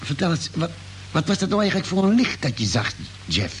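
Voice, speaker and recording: An actor playing a probing, concerned ship's doctor in a space radio play, with inquisitive, hesitant phrasing and musing pauses. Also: male voice, a little high, big pitch swings. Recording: noisy, humming old radio drama recording.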